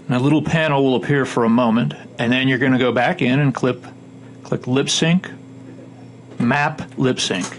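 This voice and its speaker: A man giving informal, step-by-step instructional narration as a software tutorial instructor, with a gravelly voice. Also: mid-range voice. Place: indoor voice-over for a screen recording. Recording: faint background noise.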